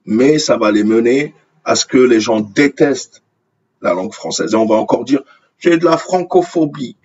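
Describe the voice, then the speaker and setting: A man's voice: medium pitch, lively intonation, moderate pace, darkish tone, breathy edge, dull audio. A man from Algeria, a native Arabic speaker, giving indignant, opinionated news commentary and repeating phrases for emphasis. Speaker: online commentator. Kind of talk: opinionated commentary on news articles read aloud.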